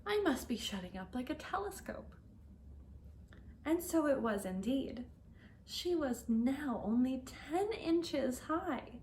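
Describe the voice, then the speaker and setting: Female English teacher and read-aloud host, expressive and careful in diction, faint noise behind. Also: a little high. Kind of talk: storybook read-aloud for language learners.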